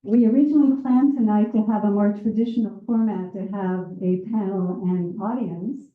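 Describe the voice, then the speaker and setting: A woman moderating a community panel, welcoming a live audience in a gentle, measured and slightly hesitant way. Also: medium pitch, fairly even intonation, phone-quality sound. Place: community meeting room with a seated circle. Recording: roomy room sound.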